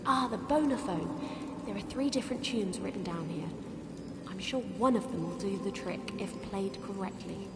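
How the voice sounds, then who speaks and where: A woman's voice: mid-range voice, moderate pace, lightly acted. A woman playing a detective's theatrical, explanatory companion in a hobbyist video game. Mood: curious, informative. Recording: echoey, reverberant, noisy.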